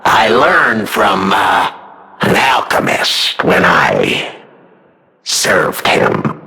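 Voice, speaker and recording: A man's voice: deep voice, sing-song, drawn-out words, vocal fry, sharp tone, exaggerated voice. A man voicing a boastful, sadistic killer puppet in a video game, speaking as a theatrical, gloating villain. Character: boastful, sadistic male killer puppet, self-styled blood artist.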